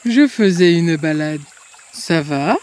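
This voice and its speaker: A woman voicing a kind grown family friend in a cartoon story, gently encouraging in a measured way. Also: lowish voice, expressive. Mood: concerned.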